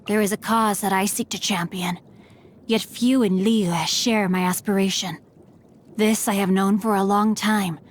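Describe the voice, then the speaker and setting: A woman's voice: medium pitch, a little hiss or hum, lightly acted. A woman voicing a courteous, bookish teenage boy martial artist in a video game, with refined, eloquent and formal diction. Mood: solemn, earnest. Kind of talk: video game character voice lines. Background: music.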